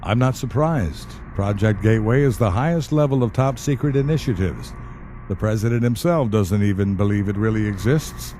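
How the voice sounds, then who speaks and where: An actor in an audio play as a calm, wry government specialist, speaking in a measured, matter-of-fact and dryly polite way. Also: male voice, on the low side, a little hiss or hum, natural voice. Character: calm, wry male government specialist who questions the dead. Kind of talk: audio drama acting.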